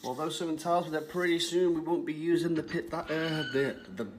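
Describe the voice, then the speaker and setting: A man's voice: on the high side, steady tone, fairly quick, a little hiss or hum. A man giving casual, chatty commentary as he plays a video game. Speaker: gamer, let's play host. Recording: game music behind the voice. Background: video game music.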